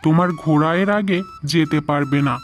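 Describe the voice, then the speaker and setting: Cartoon holy man: male actor, solemn, mysterious, kindly guiding manner, soft music underneath. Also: on the high side, moderate pace, close to an everyday voice. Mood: solemn, advising. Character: mystic forest sage, solemn, kindly guide. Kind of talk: cartoon fairy-tale dialogue. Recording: music behind the voice.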